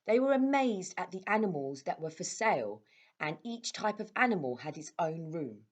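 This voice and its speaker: Animated woman reading a children's story. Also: on the low side, normal speed. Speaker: storyteller at a primary school.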